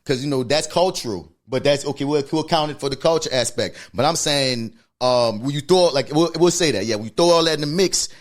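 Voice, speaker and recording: Animated New Orleans man on a podcast. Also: on the high side, brisk, hardly any pauses. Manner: animated, swears freely, tags lines with "you feel me". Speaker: podcast co-host. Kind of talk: casual podcast discussion.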